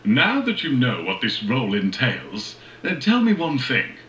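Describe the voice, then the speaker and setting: An actor playing a brisk, commanding aerospace tycoon joining an interview remotely in a cinematic audio drama. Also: male voice, medium pitch, huge pitch swings, a bit bright. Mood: brisk, genial. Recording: roomy echo, faint noisy ambience.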